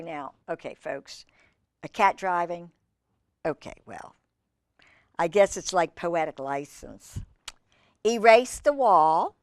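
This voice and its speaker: A woman hosting a children's storytime, reading aloud with drawn-out emphasis and chatting in asides to young listeners. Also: mid-range voice, no echo, studio quality. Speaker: host reading picture books to children.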